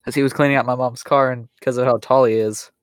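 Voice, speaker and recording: A man with a fairly high voice, a guest and friend on a casual podcast, teasing and correcting the host. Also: steady tone, fast talker, no echo, studio quality. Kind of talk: casual chat.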